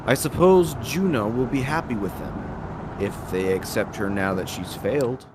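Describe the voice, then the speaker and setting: A man's voice: medium pitch, normal speed, noisy background, lightly acted. A man playing an earnest, loyal vampire operative in an amateur visual novel dub, plain-spoken in delivery. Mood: serious.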